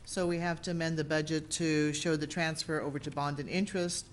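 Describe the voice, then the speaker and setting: Low-voiced woman explaining a budget. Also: steady tone, a little fast, a little hiss or hum. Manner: plain, matter-of-fact. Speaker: city finance officer. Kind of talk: explaining a budget at a public meeting.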